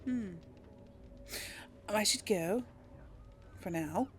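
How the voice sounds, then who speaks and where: A woman's voice: mid-range voice, very slow, soft background music. A woman doing casual game commentary and role-playing dialogue choices, musing aloud with little hums. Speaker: gamer narrating a role play series.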